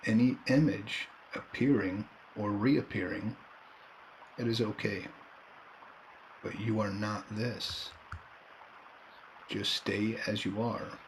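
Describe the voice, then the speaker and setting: A man leading a guided meditation in a calm, unhurried manner, leaving long pauses between short phrases. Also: lowish voice, a little hiss or hum, rough recording. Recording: background nature ambience and birdsong. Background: nature and bird sounds.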